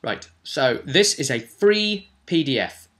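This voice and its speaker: Bright, forceful man hosting a hobby vlog. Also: medium pitch, big pitch swings, slow. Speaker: host and game master. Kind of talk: casual vlog talk about a tabletop role-playing game.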